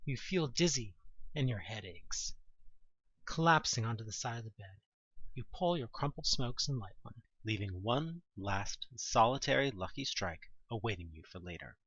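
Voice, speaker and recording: A man reading scripted second-person audio drama narration in a deliberate, dramatic storytelling manner. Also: medium pitch, animated, a bit bright, husky, dry recording, lo-fi sound.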